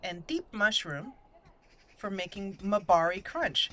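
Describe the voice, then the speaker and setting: A woman's voice: mid-range voice, animated, slow, fairly bright tone. A woman hosting a gaming channel, chatting casually and animatedly as she plays and thinks aloud. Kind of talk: casual game commentary.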